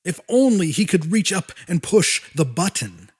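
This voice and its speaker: A man's voice: sits high, sing-song, normal speed, slightly rough. A man reading scripted fiction as an audiobook narrator, in a dramatic storytelling style.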